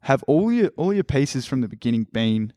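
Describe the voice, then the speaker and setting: A man's voice: mid-range voice, very animated, normal speed, mellow, no echo, studio quality. Young man, casual rambling host. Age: 20s. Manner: rambling, swears casually, lots of fillers. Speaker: podcast host. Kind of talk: casual interview chat.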